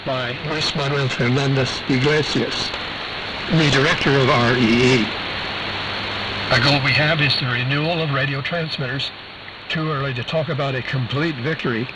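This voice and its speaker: A man presenting a scripted shortwave news bulletin, precisely reading out figures and spelled letters. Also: medium pitch. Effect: shortwave radio transmission. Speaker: radio program presenter. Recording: muffled, hissy shortwave radio broadcast.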